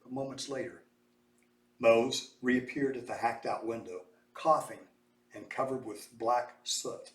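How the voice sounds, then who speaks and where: Man, animated storybook reader, mid-range voice, echoey room, distant mic. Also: mid-range voice, a little slow. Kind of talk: dramatic read-aloud of a children's story.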